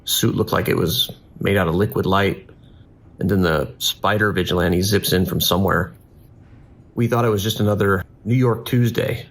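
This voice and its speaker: Guy acting tired steady 911 dispatcher, low creaky voice, calm n measured, audio drama. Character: steady, weary male police emergency dispatcher.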